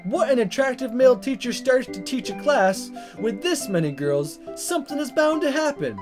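Man playing a high, exasperated teenage schoolboy. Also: lively intonation, normal speed. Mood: jealous, exasperated. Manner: exasperated ranting. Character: indignant, jealous teenage schoolboy, loud and whiny. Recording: guitar music behind.

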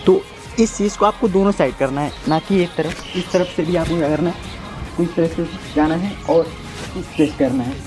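A man's voice: on the high side, moderate pace. A man in his twenties giving casual, instructive workout directions to viewers, often repeating his phrases. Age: 20s. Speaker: amateur home fitness instructor. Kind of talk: workout demonstration talking to viewers. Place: outdoors in a brick-walled yard or rooftop. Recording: loud music behind voice. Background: music.

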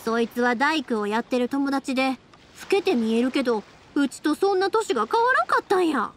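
A woman playing a cheerful, caring, energetic young fireworks maker with a Kansai accent in an anime game. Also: sits high, fairly quick, slight background noise. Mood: chatty, upbeat.

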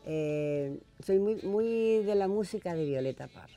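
A woman's voice: lowish voice, lively intonation, measured pace, dark tone. A woman in her seventies from southern Chile with a Chilean accent, chatting casually and plainly as an interview guest. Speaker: folk singer, interview guest. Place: small television studio.

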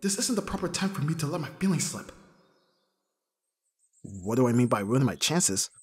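A man acting a flustered young best friend in love in an intimate ASMR roleplay, husky and breathy, with a hesitant, stammering delivery. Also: mid-range voice, big pitch swings, normal speed, dry recording, studio quality. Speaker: audio roleplay voice actor.

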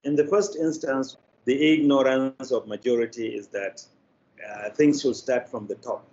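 An older man with a Nigerian accent giving deliberate, formally worded interview answers with frequent pauses. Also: mid-range voice, fairly even intonation, mellow. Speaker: interview guest, media producer. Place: plain indoor room, video call. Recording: dull, noisy video call.

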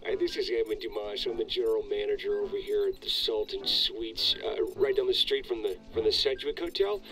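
A man's voice: lowish voice, brisk, a bit bright, faint music behind, close to an everyday voice, low-quality recording. An actor playing a chummy, slightly nervous male hotel manager, polished and grateful on a phone message in a video game. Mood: grateful, ingratiating.

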